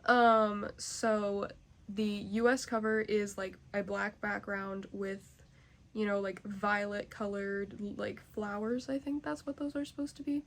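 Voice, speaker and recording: A young woman rambles through a casual book vlog in a stuffy, congested voice, stopping often to hedge and fill. Her mid-pitched voice drops into a gravelly fry. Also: flat delivery, measured pace. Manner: rambling, hesitant, many fillers. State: nasally congested. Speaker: book vlogger. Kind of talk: casual chat.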